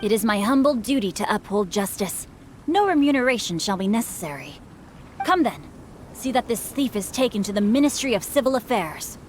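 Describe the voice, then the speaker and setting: A woman's voice: on the high side, expressive, fairly quick, a little mellow, a little hiss or hum, natural voice. A woman voicing a courteous, chivalrous young noble boy in a video game, with polished, formal, wordy speech. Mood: authoritative.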